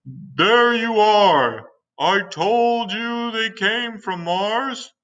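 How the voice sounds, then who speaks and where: A man voicing a panicky male police chief who yells in a high, frantic voice. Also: very animated, moderate pace, muffled recording, heavily acted. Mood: blustering. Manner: frantic, high-pitched yelling.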